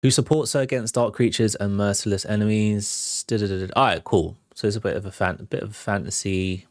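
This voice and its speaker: A man's voice: on the low side, steady tone, fairly quick, no room sound, studio quality. A man hosting a film show, giving casual, off-the-cuff commentary on trailers. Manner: informal, off-the-cuff.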